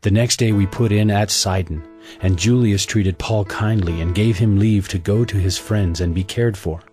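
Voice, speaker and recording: A man narrating a scripture audio drama in a measured, solemn storytelling manner, reading from a script. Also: on the low side, a little mellow. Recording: music bed under the voice.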